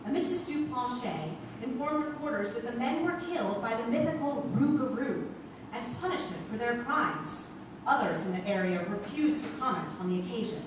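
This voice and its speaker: A woman's voice: fairly high pitch, animated, moderate pace, close to an everyday voice. A woman playing a formal nineteenth-century reporter, reading a news report theatrically. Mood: grave, formal. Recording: echoey noisy theatre, far from mic.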